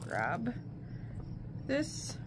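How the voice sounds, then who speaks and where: A woman's voice: on the high side, wildly swooping pitch, very slow, heavy background noise. A woman with a stuffy nose from a cold, chatting casually and rambling as she works on a craft at home. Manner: chatty, rambling, self-interrupting. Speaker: crafting vlogger. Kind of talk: casual talk while crafting.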